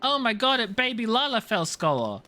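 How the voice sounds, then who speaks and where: A man's voice: very high voice, very animated, normal speed, bright, forceful tone. A man live streaming a video game, talking casually and jokily in slang with playful mock outrage. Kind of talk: casual chatty game commentary.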